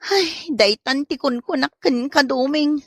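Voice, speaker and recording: Woman playing nagging village wife, Ilocano accent, high-pitched, forceful, dry close sound, comedy drama. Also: unhurried, no echo. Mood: complaining.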